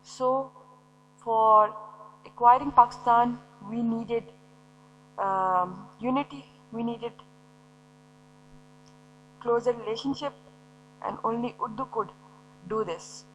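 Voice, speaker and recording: A woman's voice: fairly high pitch, slow pace, long pauses, a bit bright, slight background noise, low-quality recording. A woman teacher giving a lecture, explaining step by step and asking and answering her own questions. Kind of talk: recorded classroom lecture.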